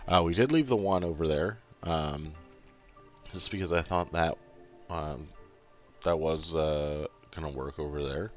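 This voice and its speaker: A low-pitched man narrates gameplay in a casual, explanatory way. His delivery is halting, with many filler pauses, and music plays behind him. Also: muffled recording. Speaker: video creator narrating gameplay. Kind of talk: casual build commentary over sped-up game footage.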